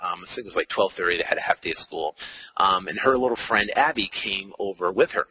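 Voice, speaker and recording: Husky, bright-voiced rambling man in his forties. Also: low-pitched, fast, low-quality recording. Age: 40s. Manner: rambling, heavy on fillers and restarts. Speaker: podcast host. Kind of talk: casual monologue. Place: car.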